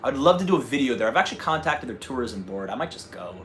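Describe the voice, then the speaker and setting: A man's voice: mid-range voice, big pitch swings, quick pace, a bit bright, a little hiss or hum. A man with a slight North Jersey accent, hosting a casual travel-channel livestream and answering viewer questions. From North Jersey, USA. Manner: chatty, answers viewer questions, restarts and fillers.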